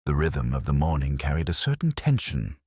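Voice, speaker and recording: A man reading literary detective fiction as a scripted narration, in a measured, reflective and formal manner. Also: rumbling low, very animated, darkish tone, husky, dry recording, studio quality.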